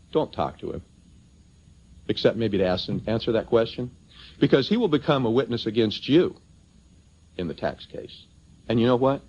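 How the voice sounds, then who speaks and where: A man's voice: mid-range voice, darkish tone. A man speaking to an audience at a seminar, persuasive and emphatic, telling anecdotes and posing rhetorical questions. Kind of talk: persuasive public seminar talk, addressing the audience. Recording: dull lo-fi seminar tape.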